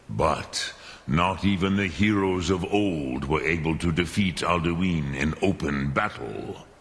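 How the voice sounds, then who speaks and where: An ancient, wise dragon teacher in a fantasy game. The voice is extremely deep and gravelly, unfolding very slowly in ponderous, contemplative musings. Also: male voice, a bit bright, exaggerated voice. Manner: ponderous, musing, pausing, sprinkles in dragon-tongue words. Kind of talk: fantasy video game acting.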